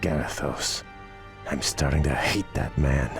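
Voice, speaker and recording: A proud elven prince for a game, deep, cultured, bitterly wry. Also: male voice, unhurried, creaky, over music, exaggerated voice. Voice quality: refined, dry and sardonic. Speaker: prince and field commander. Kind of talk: video game cutscene voice acting.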